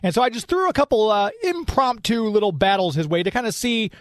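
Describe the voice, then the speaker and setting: A man's voice: sits high, expressive, a little fast, no echo, clean studio sound. A man hosting a radio show in a chatty, informal, off-the-cuff way, moving between casual talk and sponsor reads.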